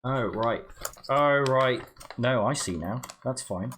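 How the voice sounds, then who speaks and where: A man's voice: mid-range voice, takes it slowly, a bit bright. A man hosting a charity livestream, chatting casually and muttering to himself as he follows craft instructions. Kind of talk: casual chat while folding origami and reading instructions. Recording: clear background noise.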